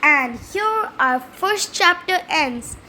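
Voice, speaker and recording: Female read-aloud host, high voice, children's storybook narration with slight stumbles, faint noise behind. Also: animated, takes it slowly, pushed and bright.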